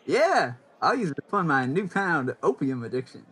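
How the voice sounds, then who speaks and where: Man as husky, swooping teenage boy soldier. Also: a little high, big pitch swings, moderate pace, fairly bright tone, heavily acted. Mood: eager. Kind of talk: old-time radio sketch comedy acting.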